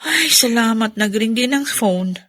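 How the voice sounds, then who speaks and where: An actress playing a resentful, pleading married schoolteacher in a Filipino radio drama. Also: female voice, a little high, lively intonation, a little slow, a bit bright. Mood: puzzled, insistent.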